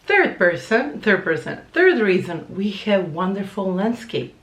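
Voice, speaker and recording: A woman's voice: mid-range voice, very animated, measured pace, fairly bright tone, a little hiss or hum. Chatty Croatian-accented woman in an echoey room. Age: 30s. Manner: chatty, searching for words, mixes in other languages. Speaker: language teacher. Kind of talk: casual vlog to camera.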